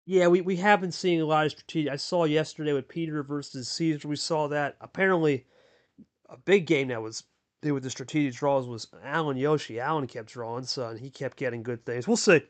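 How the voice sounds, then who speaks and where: A man doing casual play-by-play commentary on a card game, chatty and hyped, talking with his co-host. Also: a little fast. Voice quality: deep radio voice.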